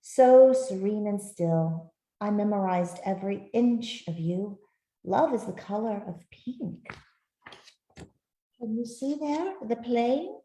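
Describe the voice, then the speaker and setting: A woman reading a picture book aloud to children in a gentle, expressive storytelling manner. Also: medium pitch, slow, slight background noise. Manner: gentle, expressive, with soft asides to listeners. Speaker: author reading her own picture book. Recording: roomy, echoey sound.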